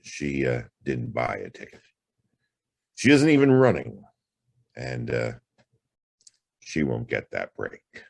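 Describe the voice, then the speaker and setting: Man acting a very deep, gravelly hard-boiled detective. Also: wildly swooping pitch, slow pace, long pauses, dry recording, full character voice. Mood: grim, resigned. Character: world-weary hard-boiled private detective, adult man. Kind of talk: noir radio drama acting and first-person narration.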